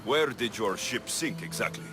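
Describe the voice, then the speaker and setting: An actor playing a cocky, flirtatious and fearless Greek mercenary in a video game, confident and teasing. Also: male voice, on the low side, animated, moderate pace, lightly acted. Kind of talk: video game cutscene acting.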